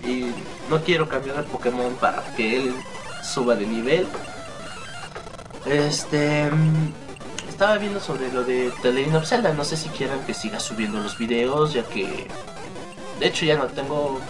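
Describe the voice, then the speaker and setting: A man's voice: medium pitch, unhurried. A man with a Mexican accent, slightly sick, giving casual, rambling, hesitant gameplay commentary with some mild swearing. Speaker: let's play gamer. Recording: game music behind the voice. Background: video game music.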